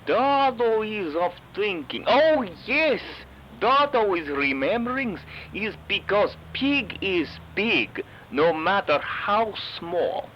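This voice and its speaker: A man's voice: high voice, big pitch swings, slow pace, muffled recording, a little hiss or hum, heavily acted. A man voicing an odd, cheerfully evasive donut salesman who speaks broken English and talks about himself in third person, in a comic audio drama. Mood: sly, polite. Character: Dado, cheerful evasive shopkeeper of mysterious donut business. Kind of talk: comedic audio drama character acting.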